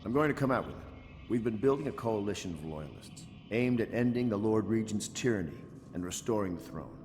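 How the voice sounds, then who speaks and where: An actor playing a blunt, commanding admiral who leads rebel loyalists in a video game. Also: male voice, fairly low pitch, lively intonation, fairly quick, a little mellow. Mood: solemn, resolute. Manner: blunt, authoritative. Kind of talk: scripted video game dialogue. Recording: long hall reverb under music.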